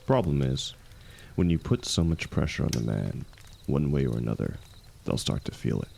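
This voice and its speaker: Guy doing a gruff kindly old-hand fantasy merc, super low gravelly voice, teasing and comforting, intimate roleplay audio. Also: big pitch swings, a little hiss or hum, exaggerated voice. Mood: wistful. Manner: gentle, teasing, unhurried, colloquial. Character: seasoned ex-mercenary warrior man, gruff, kindly, teasing companion.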